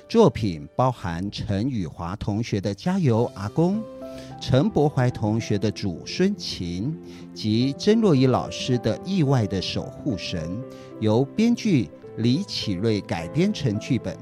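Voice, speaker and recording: A man's voice: mid-range voice, very animated, measured pace. A man with a Taiwanese Mandarin accent narrating a scripted introduction to a story for children. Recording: music behind the voice. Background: music.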